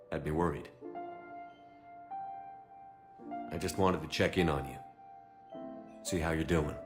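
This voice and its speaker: A resolute soldier in a game, deep voice, bit of music behind. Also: male voice. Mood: tender. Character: steady, resolute male military commander. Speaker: soldier hero. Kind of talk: dramatic video game acting. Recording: reverberant space, music behind.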